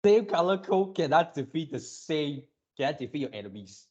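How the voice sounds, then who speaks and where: A man hosting a casual fan reaction video, gushing excitably in halting, drawn-out phrases. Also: a little high, muffled recording.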